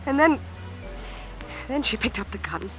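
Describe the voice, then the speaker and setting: An actress playing a frightened, guilt-ridden wife in a 1950s radio drama, speaking in a halting, pleading way. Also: female voice, on the high side, expressive, faint music behind, low-quality recording. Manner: pleading, halting, breathless delivery.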